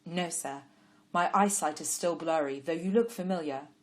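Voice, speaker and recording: A woman's voice: low-pitched, moderate pace, close to an everyday voice. Woman voicing an earnest impetuous young man. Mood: eager. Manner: loud, urgent calling.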